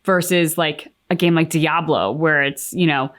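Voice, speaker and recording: Lower-pitched woman chatting reflectively. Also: fairly even intonation, a little slow, fairly bright tone. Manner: reflective, hedging with likes and you knows. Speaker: co-host of game reaction channel. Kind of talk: casual discussion, giving opinions.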